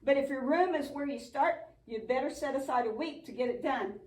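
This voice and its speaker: A woman's voice: high voice, fairly quick, lightly acted. A woman playing an earnest, patient but bossy young puppet friend in a church show, explaining and chiding. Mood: teasing. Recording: echoey room, distant mic.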